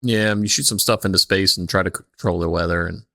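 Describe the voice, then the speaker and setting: A man's voice: low-pitched, fast talker, gravelly, bright, forceful tone, no room sound, clean studio sound. A man co-hosting a podcast with casual, irreverent comedic banter. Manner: irreverent, swears freely. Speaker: podcast co-host.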